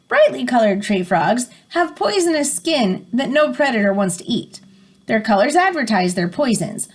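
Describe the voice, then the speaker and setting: A woman's voice: on the high side, sing-song, normal speed, husky. A woman reading an educational picture book aloud as a teacher, explaining and prompting listeners as she goes. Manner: explanatory, stops to define words and prompt listeners.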